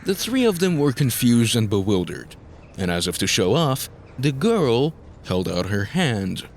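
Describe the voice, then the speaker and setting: A man's voice: medium pitch, very animated, a little slow, a little hiss or hum. A man narrating a scripted fantasy story as a dramatic audiobook-style narrator.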